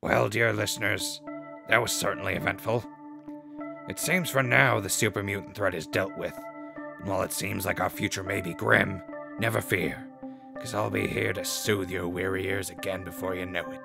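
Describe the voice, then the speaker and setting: A man playing a sardonic, unflappable radio host in a post-apocalyptic audio drama, with a low, gravelly voice and folksy patter. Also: fairly even intonation, normal speed, over music. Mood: reassuring, signing off. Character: wry male wasteland radio show host, unflappable and sardonic.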